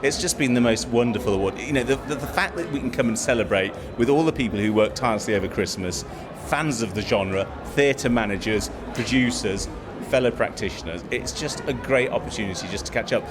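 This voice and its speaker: A man, a theatre producer, talking in an interview with warm enthusiasm. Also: mid-range voice, brisk, noisy background. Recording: loud crowd around. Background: crowd chatter.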